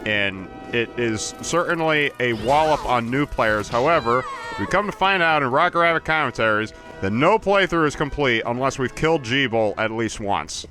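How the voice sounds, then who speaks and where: A man's voice: mid-range voice, animated, moderate pace, bright, forceful tone. A man giving casual, joking commentary on a video game, with a wry, wordy, mock-formal manner. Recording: game music behind the voice. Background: video game music and sound.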